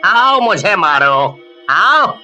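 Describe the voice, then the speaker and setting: A man's voice: high-pitched, sing-song, drawn-out words, sharp tone, soft background music, exaggerated voice. A man voicing a vengeful young bully in a horror story, mocking and menacing. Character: vengeful, taunting young male village bully. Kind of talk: Hindi animated horror story acting.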